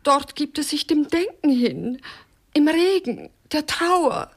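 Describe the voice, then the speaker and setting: An actress playing a poet's aggrieved wife in a satirical radio crime drama, speaking in a stilted, solemn, declamatory way. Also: female voice, high-pitched, expressive, slow pace, full character voice. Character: stilted, aggrieved wife of a poet, pedantic, theatrical.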